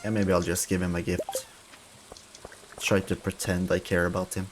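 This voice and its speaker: A man's voice: low-pitched, level tone, normal speed, background noise. A young man, chatting casually as he plays a video game with friends. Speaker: video game player in a co-op stream. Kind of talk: casual gaming chat. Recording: clear game music behind. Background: game music.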